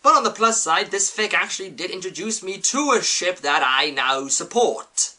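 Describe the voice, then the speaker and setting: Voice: mid-range voice, lively intonation, normal speed, pushed and bright. A reviewer giving a scripted, opinionated commentary with emphatic delivery. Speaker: host, reviewer.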